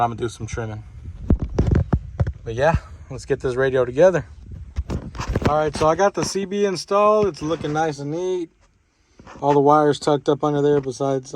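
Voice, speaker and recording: Casual man in a noisy handheld recording. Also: medium pitch. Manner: informal, offhand, uses y'all. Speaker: DIY vlogger. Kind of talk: casual hands-on install walkthrough. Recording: noisy handheld video with clicks.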